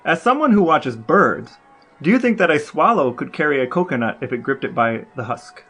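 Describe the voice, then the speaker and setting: A man's voice: on the high side, big pitch swings, fairly quick, fairly bright tone, slightly rough, faint music behind. A man co-hosting a casual comedy podcast, asking questions in a droll, mock-serious way. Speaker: podcast co-host and interviewer.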